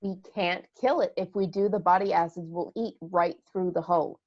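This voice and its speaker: A woman's voice: on the low side, fairly even intonation, normal speed, lightly acted. A woman in an amateur table read playing an alarmed, objecting spaceship crew member, on muffled lo-fi audio.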